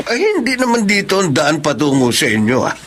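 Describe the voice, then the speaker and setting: A man's voice: fairly high pitch, big pitch swings, fairly quick, a bit bright. An actor playing a genial, inquisitive middle-aged father in a Filipino radio drama, chatty and full of questions. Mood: puzzled. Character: genial, inquisitive older father of a bride-or-groom-to-be. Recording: noisy ambient sound bed.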